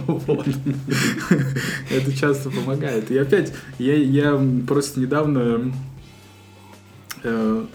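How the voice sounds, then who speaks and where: A man's voice: mid-range voice, fairly even intonation, slow pace, long pauses, darkish tone, faint music behind. A man from Russia co-hosting a podcast, telling a story in a loose, chuckling, conversational way. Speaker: podcast co-host. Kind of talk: casual conversation.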